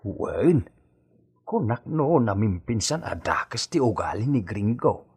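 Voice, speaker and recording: An actor with a Filipino accent playing a meek, deferential old countryman with a husky, rough voice in a radio drama. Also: lowish voice, very animated, darkish tone, exaggerated voice. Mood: resigned. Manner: slow, hesitant, submissive.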